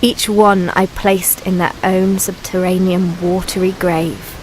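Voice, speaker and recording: A woman's voice: fairly low pitch, slow pace, slight background noise, low-quality recording. A woman voicing the ancient Sea as a grave, brooding, poetic narrator in a scripted radio play. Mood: solemn, brooding. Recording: steady rain and water ambience behind.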